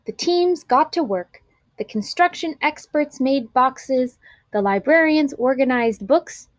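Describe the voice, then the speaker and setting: A woman narrating a children's storybook aloud in an expressive, clearly enunciated storytelling manner. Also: sits high, takes it slowly, dry recording.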